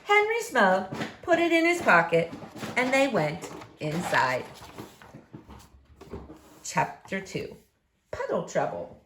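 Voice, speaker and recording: A woman's voice: mid-range voice, very animated, slow pace, long pauses, background noise. A woman reading a picture book aloud expressively to young listeners. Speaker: story reader for children. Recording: echoey room.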